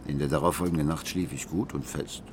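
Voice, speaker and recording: An actor playing an embittered, betrayed husband who confides his marriage story in a deliberate, brooding way in a radio drama. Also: rumbling low, steady tone, noisy background. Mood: cold, bitter. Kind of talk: radio drama monologue, intimate narration.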